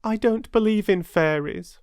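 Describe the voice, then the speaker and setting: A man voicing a sweet, imaginative little girl in a storybook reading, with a small, breathy, plaintive voice. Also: sits high, measured pace, a little mellow, no room sound, studio quality, voice pushed up. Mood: sad. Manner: childlike, plaintive.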